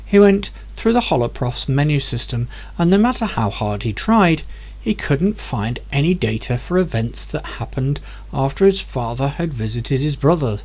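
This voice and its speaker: A man's voice: mid-range voice, normal speed. Man narrating his own audiobook. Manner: clear, expressive storytelling. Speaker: author reading his own book.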